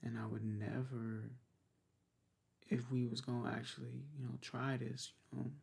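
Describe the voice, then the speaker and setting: A young man doing an intimate ASMR roleplay, talking softly and casually in a halting, laid-back way, with a breathy voice. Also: mid-range voice, level tone. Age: young adult. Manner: hesitant, halting, laid-back pillow talk. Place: small bedroom, lying on a bed.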